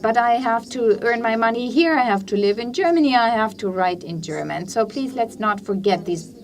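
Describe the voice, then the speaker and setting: Blunt woman, far off. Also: medium pitch. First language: Croatian. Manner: blunt, pragmatic. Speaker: writer in the audience. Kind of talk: conference Q&A comment. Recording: distant mic, faint hall ambience.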